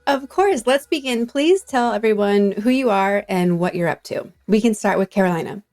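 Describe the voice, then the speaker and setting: A woman's voice: mid-range voice, expressive, normal speed, a little mellow. A woman hosting and interviewing on a podcast. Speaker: podcast host.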